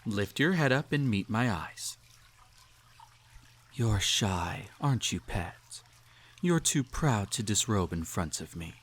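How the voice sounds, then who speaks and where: A man's voice: lowish voice, sing-song, takes it slowly, long pauses, breathy edge, heavily acted. A man playing a cruel, possessive emperor in a roleplay audio drama, speaking in a formal, pompous, teasing and condescending way. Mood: soothing, possessive. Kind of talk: scripted roleplay audio drama, intimate address to listener.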